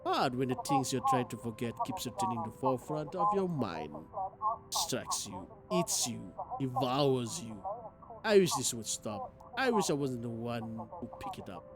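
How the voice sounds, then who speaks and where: A man's voice: mid-range voice, big pitch swings, normal speed. A man streaming a game, reading its text aloud dramatically and reacting as he goes. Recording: guitar game music behind the voice.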